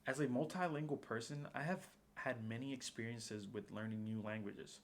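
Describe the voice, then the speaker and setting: A man's voice: medium pitch, moderate pace, slight background noise. Young man giving a class speech. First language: Spanish. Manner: formal, reading prepared remarks.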